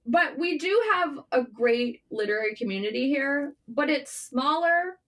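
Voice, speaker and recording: A woman speaking as a writer guest in a casual, candid interview, informal and animated in manner. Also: high-pitched, unhurried. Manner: animated, informal, swearing, self-deprecating.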